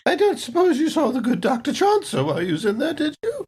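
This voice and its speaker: A woman's voice: high-pitched, sing-song, quick pace, darkish tone. A woman playing a coy, prying space station resident in tabletop roleplay, speaking with theatrical, teasing politeness.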